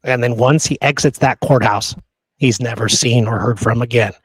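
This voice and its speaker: A man talks as a podcast host going over history in an earnest, conversational way. He has a mid-pitched voice and leans on repeated phrases for emphasis. The sound is close and dry. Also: moderate pace, slightly rough, no echo.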